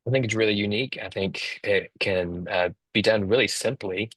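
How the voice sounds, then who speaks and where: A man's voice: fairly low pitch, moderate pace, a bit bright, husky, no echo, poor audio. A man co-presenting on a video call, explaining app building casually.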